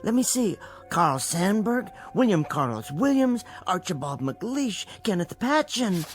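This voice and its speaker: An American actor playing a timid, bookish, henpecked middle-aged husband in a radio drama, with hesitant, stammering speech and a gentle dignity. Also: male voice, sits high, big pitch swings, unhurried, soft background music. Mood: quietly pleased. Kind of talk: scripted radio drama acting.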